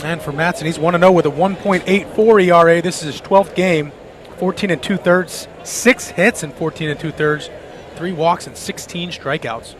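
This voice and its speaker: A man's voice: on the high side, moderate pace, background noise. An American man giving analytical, explanatory colour commentary on a live radio baseball broadcast. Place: ballpark broadcast booth.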